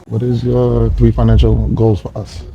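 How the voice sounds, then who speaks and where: A man in his forties from Queens on a dinner date, talking casually and hesitantly with lots of pauses and fillers. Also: medium pitch, completely flat delivery, gravelly, highs cut off. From Queens, New York. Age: 40s. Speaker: dater on a blind date. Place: restaurant dinner table.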